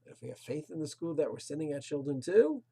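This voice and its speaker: Husky mellow-voiced rabbi lecturing. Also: male voice, fairly high pitch, big pitch swings, fast talker. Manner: earnest, moralizing, emphatic rhetorical questions; sprinkles Hebrew and Yiddish terms. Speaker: rabbi teaching a Torah class. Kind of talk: recorded religious lecture.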